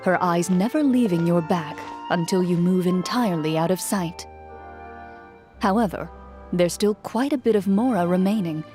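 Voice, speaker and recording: A woman voicing a composed, formal game master who narrates a story in measured tones in a video game. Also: lowish voice, warm tone, faint music behind, natural voice.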